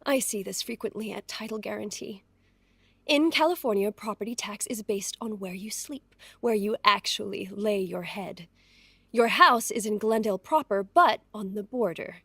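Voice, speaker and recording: An actress playing a sharp-tongued, scornful rival wife in an audio drama, with a dry, cutting, sardonic delivery. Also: female voice, medium pitch, normal speed, slightly rough, no room sound. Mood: wry, knowing. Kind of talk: audio drama acting, period dialogue.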